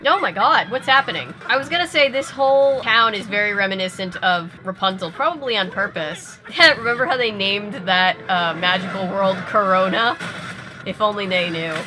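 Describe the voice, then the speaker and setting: A woman hosting a reaction video, commenting casually with expressive, wry asides. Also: medium pitch, normal speed, noisy background. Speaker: video host reacting to a film.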